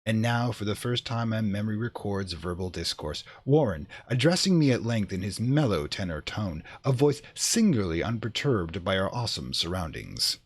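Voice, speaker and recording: A man narrating a horror radio play in a grave, theatrical, deliberate manner. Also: on the low side, big pitch swings, slightly rough. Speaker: narrator and performer.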